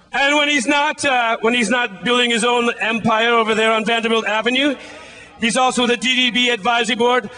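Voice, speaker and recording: A man shouting a stage introduction as emcee at an outdoor rally. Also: very high-pitched. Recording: long reverb of outdoor PA.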